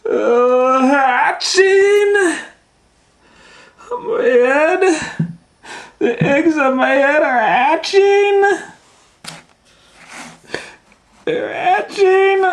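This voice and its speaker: A man voicing an anxious, exasperated storybook elephant with loud, incredulous, wailing exclamations. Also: sits high, big pitch swings, a little slow, gravelly, pitched above the performer's own voice, full character voice. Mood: panicked wailing. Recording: roomy, echoey room sound.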